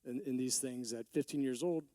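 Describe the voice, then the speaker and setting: A man in his forties from Texas, a business owner giving a rambling conference talk with many restarts, his voice a little hoarse from allergies. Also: medium pitch, brisk, dark tone, no echo. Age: 40s. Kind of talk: conference speech.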